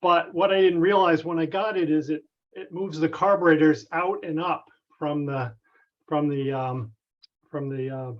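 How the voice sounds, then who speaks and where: A man with a slightly high voice talks over a dull, lo-fi conference connection. He explains a technical matter casually, haltingly and tentatively, circling back as he goes. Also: fairly even intonation. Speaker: club member asking a technical question. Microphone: conference call connection. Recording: dull, band-limited conference call audio.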